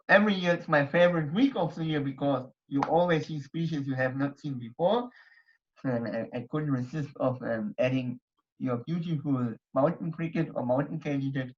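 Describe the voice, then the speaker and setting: A young man from Germany speaking English with a German accent, giving a hesitant online talk full of fillers and restarts. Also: mid-range voice. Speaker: presenter at a society members night. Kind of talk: casual online talk with slides. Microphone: computer mic on a video call. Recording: dull lo-fi video call audio.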